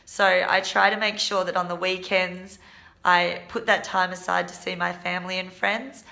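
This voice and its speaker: A woman in her twenties, a teacher, hoarse today, giving earnest, practical advice in a casual vlog. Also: on the low side, little pitch movement, moderate pace, sharp tone. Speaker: primary school teacher and vlog host.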